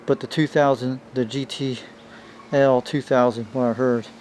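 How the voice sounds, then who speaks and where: Male hobbyist, mid-pitched, informal and rambling, talking viewers through gear on a noisy amateur video. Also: fairly even intonation, moderate pace, a little mellow. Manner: informal, rambling, addressing viewers as you guys. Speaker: hobbyist showing a vintage CB radio. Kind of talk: casual hands-on show-and-tell.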